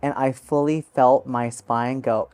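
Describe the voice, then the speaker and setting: A woman guest in a casual interview, animated and self-mocking as she jokes. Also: a bass voice, a little slow. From United States.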